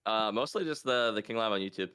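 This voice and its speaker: A man chatting casually as a quiz contestant, musing out loud in an informal way. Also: lowish voice, fast talker, smooth. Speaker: guest contestant, gaming YouTuber. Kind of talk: casual chat, thinking aloud.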